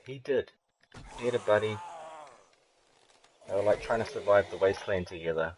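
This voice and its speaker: A man with a very low, deep voice streams a game live and keeps up a loose running commentary. He swears constantly, laughs a lot and drifts into rambling jokes, with a faint hiss of noise under the recording. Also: moderate pace. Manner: swears freely, laughs often, rambling jokey asides.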